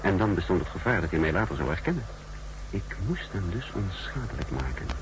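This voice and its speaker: An actor playing a cool, calculating secret agent who narrates his mission in a measured, introspective way in a 1960s radio drama. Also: low-pitched, very animated. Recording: hissy 1960s radio recording.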